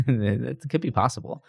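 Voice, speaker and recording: A man's voice: mid-range voice, unhurried, gravelly, slightly rough, no room sound, studio quality. A man hosting a podcast, talking through gaming news in a casual, conversational way and often tagging on questions to check agreement. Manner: conversational, rhetorical tag questions. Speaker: podcast host.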